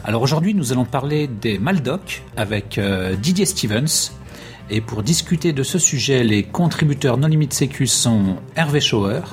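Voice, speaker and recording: A man's voice: mid-range voice, expressive, normal speed, faint music behind. A man hosting a podcast, reading introductions and putting interview questions. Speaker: podcast host.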